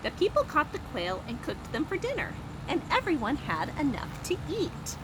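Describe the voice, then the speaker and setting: A woman's voice: sits high, wildly swooping pitch, normal speed, background noise. A woman hosting a Bible story time for young children, explaining simply and expressively with questions and asides.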